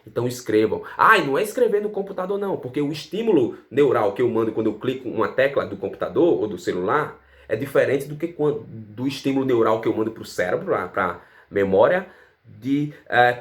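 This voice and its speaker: A Brazilian man talks through a casual online lesson, throwing rhetorical questions at the viewer. He tags his sentences with a quick "tá?" and keeps an informal teaching tone. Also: medium pitch. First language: Portuguese. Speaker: language teacher.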